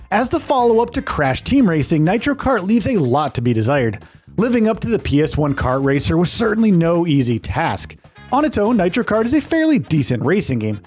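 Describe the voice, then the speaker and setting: Male video host, high-pitched voice, very animated intonation, upbeat scripted countdown narration, light music underneath. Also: slightly rough.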